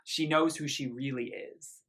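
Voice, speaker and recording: Bright-voiced man giving an earnest review. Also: medium pitch, fairly even intonation, normal speed. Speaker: music album reviewer. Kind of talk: casual album review commentary with lyrics read aloud.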